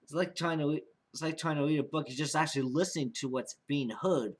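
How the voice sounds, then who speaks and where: Rambling, halting young man, casual vlogger. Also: a little high. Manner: rambling, halting, repeats and restarts phrases. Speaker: amateur podcast creator. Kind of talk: casual unscripted vlog monologue.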